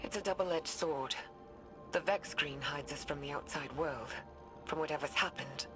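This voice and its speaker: An actress playing an earnest, weary scientist recording a game audio log in a thoughtful, measured way with hushed phrase endings. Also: female voice, low-pitched, natural voice. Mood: grave, analytical. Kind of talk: confessional audio log, dramatic game acting. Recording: music behind the voice.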